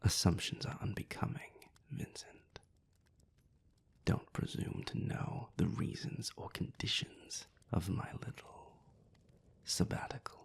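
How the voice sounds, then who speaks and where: A man playing a sardonic, infuriating vampire in an audio roleplay, theatrical and sly, with arch, taunting formality and a breathy, hushed voice. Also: sits low, wildly swooping pitch, slow, in a whisper, exaggerated voice. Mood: haughty.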